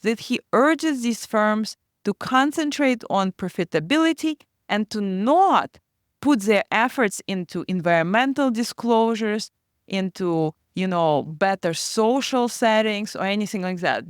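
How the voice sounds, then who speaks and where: A woman's voice: medium pitch, animated, unhurried, smooth, no room sound, studio quality. A woman finance professor co-hosting a casual academic discussion, explaining with everyday comparisons.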